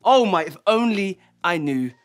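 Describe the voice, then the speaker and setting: A man's voice: sits high, lively intonation, unhurried, crowd noise. A man speaking as a panel judge in casual banter.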